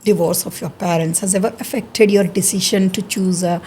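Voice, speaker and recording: A woman hosts an interview show in an earnest, halting way, restarting her sentences as she goes. Her voice sits low for a woman, and there is a faint hiss behind her. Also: steady tone, dark tone. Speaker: podcast host. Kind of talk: interview chat.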